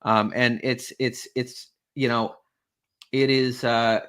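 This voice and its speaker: A man hosting an interview, talking in an animated, rambling way with many fillers. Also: medium pitch, takes it slowly, clean tone. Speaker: podcast host. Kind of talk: conversational interview.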